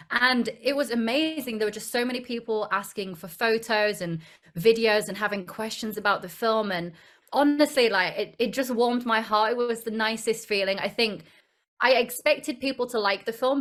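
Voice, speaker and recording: A woman's voice: medium pitch, fairly bright tone. A young British actress, a guest in an interview, speaking fluently and enthusiastically, often repeating a word as she starts a thought. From United Kingdom.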